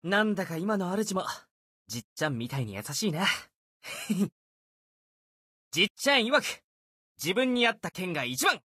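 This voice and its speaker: A man voicing a brash, boastful young sword warrior for an anime-style game, youthful and husky-edged, with cocky, casual boyish speech. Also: high-pitched, sing-song, moderate pace, long pauses, no echo, clean studio sound, heavily acted. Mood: cheerful, proud. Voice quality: youthful, bright, husky edge.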